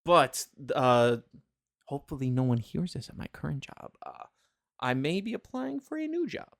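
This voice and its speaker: A man's voice: fairly high pitch, wildly swooping pitch, moderate pace, vocal fry. A man hosting a podcast in casual chat, joking and rambling with lots of fillers and restarts. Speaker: podcast host.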